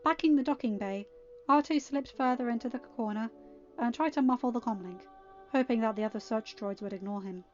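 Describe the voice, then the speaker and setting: A woman reading a fan fiction story aloud as an amateur narrator, in an expressive scripted style. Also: on the high side, fairly quick. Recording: dull, band-limited audio with background music. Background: quiet music.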